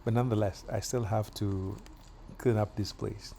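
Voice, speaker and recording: A middle-aged man from Kenya chatting casually while doing yard work outdoors, with relaxed pauses and fillers. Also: fairly low pitch, creaky, a little hiss or hum. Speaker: vlogger.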